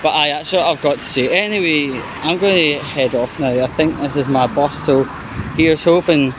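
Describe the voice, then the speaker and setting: A man's voice: a little high, normal speed. A man with a Glasgow-area Scottish accent chatting off the cuff in a casual outdoor vlog, with blunt, sweary grumbling. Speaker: vlogger presenting a walking video. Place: outdoors by a roadside bus stop. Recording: outdoor street noise with passing traffic. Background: traffic and street ambience.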